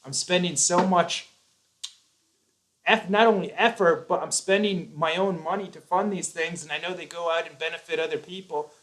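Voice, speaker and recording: A man's voice: fairly high pitch, steady tone, moderate pace, a bit bright, slight background noise, rough recording. A man hosting a live chat, talking earnestly and at length, with frequent restarts. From United States. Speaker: YouTube host.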